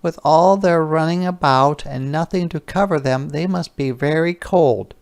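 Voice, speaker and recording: A man voicing a kindly, grateful shoemaker's wife in a gentle, deliberate storybook manner. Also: on the high side, clear, resonant tone, voice pushed up.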